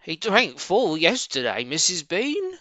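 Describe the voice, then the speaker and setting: A man's voice: high-pitched, wildly swooping pitch, measured pace, sharp tone, dry recording, pitched above the performer's own voice, exaggerated voice. A man voicing a huge, servile countrywoman servant in a storybook, grumbling and calling out colloquially. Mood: grumbling, loud.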